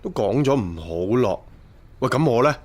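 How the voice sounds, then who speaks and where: A man's voice: mid-range voice, expressive, normal speed, natural voice. An actor playing a naive but drily sceptical young man in a radio drama, with a Hong Kong accent and casual, plain-spoken delivery. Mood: bewildered protesting.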